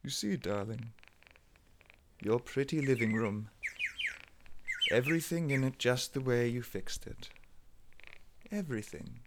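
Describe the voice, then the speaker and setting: An actor playing a desperate, devoted husband in a theatrical, pleading 1930s radio horror style. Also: male voice, mid-range voice, unhurried, pauses often, natural voice. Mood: sorrowful. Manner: theatrical, pleading, swinging from murmurs to outcries. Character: desperate, distraught husband devoted to his transformed wife. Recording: noisy vintage 1930s radio recording.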